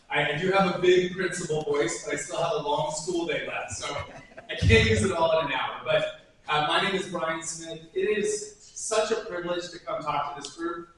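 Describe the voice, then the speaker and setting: A man who works as a school principal giving an upbeat, energetic presentation to a civic group, laughing often. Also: a little high. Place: large echoey meeting room. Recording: very echoey room from a distance, faint noise.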